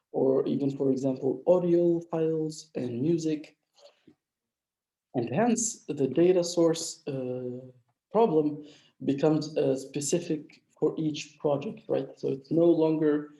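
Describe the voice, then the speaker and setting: A man's voice: a little high, vocal fry, mellow. A man with a mild flu teaching a live online coding lecture, explaining step by step with many filler sounds. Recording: dull lo-fi echoey room.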